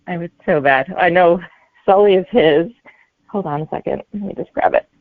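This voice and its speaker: Halting low-voiced woman on a tinny line. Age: 40s. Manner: halting, hesitant delivery. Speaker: guest author. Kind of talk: casual interview answers. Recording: narrow, tinny phone-like line.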